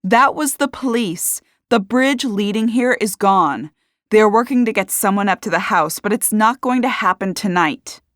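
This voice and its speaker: A narrator acts out a brisk, matter-of-fact woman in an audiobook. She announces things loudly and takes charge, in a raised pitch. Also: animated, dry recording, studio quality, natural voice. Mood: urgent, announcing. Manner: loud, announcing.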